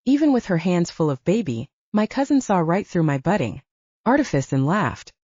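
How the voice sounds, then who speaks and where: A woman's voice: on the low side, very animated, moderate pace, dark tone, no echo, muffled recording. A woman reading first-person fiction as a scripted audiobook narration.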